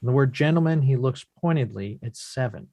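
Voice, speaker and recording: A man serves as narrator, reading scripted stage directions. His voice is mid-pitched, and the recording is dry, free of room echo. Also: animated, fairly quick, warm tone. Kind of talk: scripted narration at a table read.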